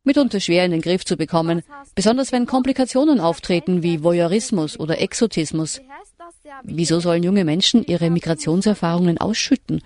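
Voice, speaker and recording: A woman narrating a radio feature in a reflective, questioning, measured style. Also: fairly low pitch, a little mellow. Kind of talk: scripted documentary narration.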